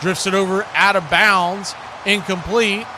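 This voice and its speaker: An American man doing live football play-by-play commentary, conversational between snaps and animated on big plays. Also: high voice, unhurried, noisy background. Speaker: play-by-play sportscaster.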